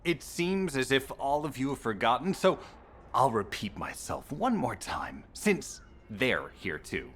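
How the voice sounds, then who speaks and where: An actor playing a cocky, sarcastic rebel fighter in a video game, with a flippant, mocking, theatrical delivery. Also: male voice, medium pitch, big pitch swings, unhurried, fairly bright tone, a little hiss or hum. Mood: sarcastic irritation. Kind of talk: video game dialogue acting.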